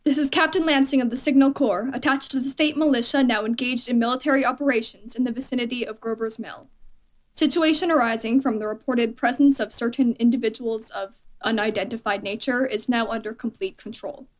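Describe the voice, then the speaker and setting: Woman doing a confident army captain on radio drama, higher voice, brushing off the danger. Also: steady tone, a little fast, close to an everyday voice. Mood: official, assured. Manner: assured, dismissive of danger. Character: confident army signal corps captain reporting from the field. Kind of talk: radio play battlefield report.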